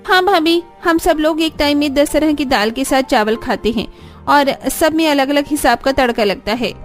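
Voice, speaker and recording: Woman as a high-pitched cheeky young sister-in-law. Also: normal speed, soft background music, close to an everyday voice. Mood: matter-of-fact. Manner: teasing.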